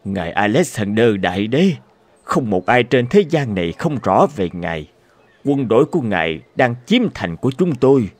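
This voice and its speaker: Serene, measured old townsman. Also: medium pitch, no echo. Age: older. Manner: calm, measured, respectful. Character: humble, serene old male townsman, wise and fearless.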